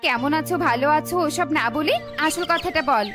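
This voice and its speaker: Woman acting grumpy young female bird, high voice, impatient scolding, kids' cartoon, music underneath. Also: a little fast, close to an everyday voice. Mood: annoyed, scolding.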